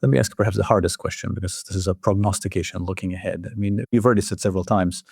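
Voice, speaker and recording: A man with a low, creaky voice hosts a podcast interview. He speaks briskly, with a husky edge. The recording is dry and close. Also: fairly even intonation, darkish tone, no room sound. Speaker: podcast host, journal editor.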